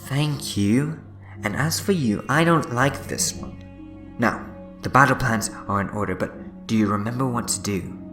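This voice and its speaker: An actor playing a sly time-travelling schemer in a sci-fi fan audio drama, teasing and mock-polite. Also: male voice, medium pitch, measured pace, close to an everyday voice. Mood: brisk, smug. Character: sly, smug, meddling male alien time-traveller schemer. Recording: loud music behind the voice. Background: dramatic music.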